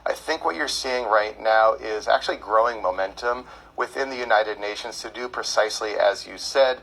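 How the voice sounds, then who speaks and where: Gravelly, measured male podcast guest. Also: fairly low pitch, pushed and bright, rough recording. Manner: measured, explanatory, with frequent fillers. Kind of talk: answering call-in questions.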